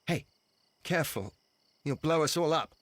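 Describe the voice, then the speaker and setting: A man's voice: a little high, animated, normal speed, no room sound, natural voice. An actor playing an embittered, defiant common man who leads a rebel gang, speaking in an oratorical way in a video game. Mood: wary.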